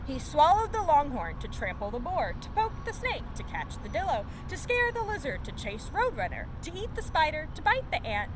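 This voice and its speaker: A woman reading a children's picture book aloud as a story time host, in an expressive, sing-song storytelling manner. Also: high-pitched, normal speed, few pauses, background noise.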